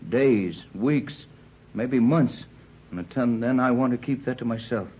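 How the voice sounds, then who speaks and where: An actor playing a steady, troubled, plain-spoken captain in an old-time radio adventure serial. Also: male voice, medium pitch, a little slow, slight background noise, close to an everyday voice. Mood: grave. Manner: earnest, grave, plain-spoken. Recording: muffled, narrow-band old radio recording.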